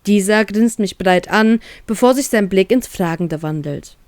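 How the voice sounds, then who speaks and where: A woman's voice: mid-range voice, unhurried, a little mellow, no echo, clean studio sound. A woman reading a scripted story aloud as its narrator, in a dramatic storytelling style. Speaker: narrator of fan fiction audio story.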